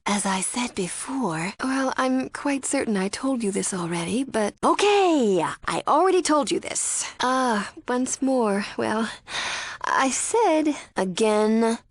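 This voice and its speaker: A woman's voice: fairly high pitch, animated, takes it slowly, no room sound. Wary, sardonic young woman, close and dry. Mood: exasperated. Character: wary, sardonic young female spy posing as cult follower. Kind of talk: video game character dialogue.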